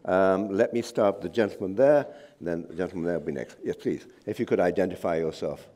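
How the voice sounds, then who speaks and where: A man's voice: deep voice, fairly quick, mellow. A man moderating a conference session, light and joking as he calls on the audience.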